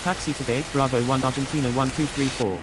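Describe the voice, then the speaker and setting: A man voicing a brisk airline pilot in a flight control game, using clipped, formulaic radio phraseology. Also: mid-range voice, steady tone, close to an everyday voice. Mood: businesslike. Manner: formulaic, procedural. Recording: clear hiss over radio-style game audio.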